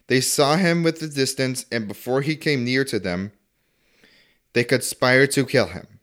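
A man's voice: mid-range voice, measured pace, fairly bright tone. Male scripture reader, mid-range voice, reading a Bible narrative.

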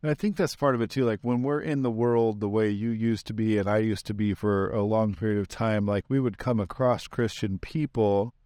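A man's voice: lowish voice, steady tone, fairly quick, hardly any pauses, darkish tone, no room sound, studio quality. A man hosting a faith podcast, asking questions and urging listeners in an encouraging, preaching manner. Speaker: podcast host. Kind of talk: casual podcast interview.

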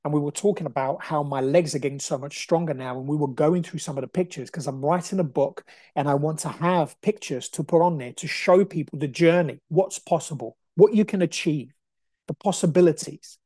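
A man's voice: a little high, brisk, warm tone, breathy edge, no room sound, clean studio sound. A man in his forties from Brazil, hosting a podcast with an emphatic, repetitive, motivational way of talking. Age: 40s. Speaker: podcast host. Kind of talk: motivational monologue.

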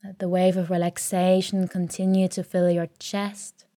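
A woman leading a guided sleep meditation in a calm, soothing, gentle way, leaving long pauses between phrases. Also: on the low side, dry recording, clean studio sound. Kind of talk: guided sleep meditation, soft scripted narration.

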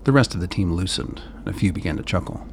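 A man's voice: low voice, big pitch swings, fast talker, slight background noise. A man narrating a scripted radio play as its storyteller.

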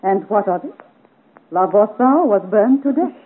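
A woman's voice: medium pitch, animated, a little slow, slight background noise, lightly acted. An actress playing a proud, ambitious marchioness in her thirties with an imperious, grand manner in a 1950s radio serial. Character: haughty ambitious 37-year-old marchioness, royal favourite of France. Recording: muffled phone-like old radio recording.

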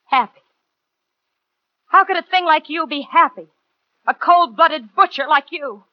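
A woman's voice: sits high, measured pace. An actress with an American accent playing a defiant doomed woman in a 1940s radio thriller. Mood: bitter, defiant. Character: defiant doomed woman facing her killer. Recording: dull vintage 1940s radio recording.

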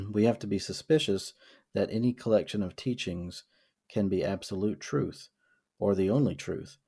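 Low, mellow-voiced Southern man musing. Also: slightly rough. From American South. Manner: reflective, quotes passages aloud, many fillers and restarts. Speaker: podcast co-host. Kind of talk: casual discussion of a book.

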